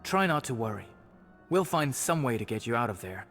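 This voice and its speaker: A man's voice: mid-range voice, very animated, brisk, a bit bright, soft background music. An actor playing an earnest, cautious male fantasy adventurer in a video game, speaking with concern.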